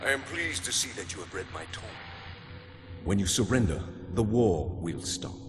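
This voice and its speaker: A man playing an arrogant, coldly confident warlord villain in a video game, speaking formally, with loud music behind. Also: fairly low pitch, very animated, normal speed, noisy background.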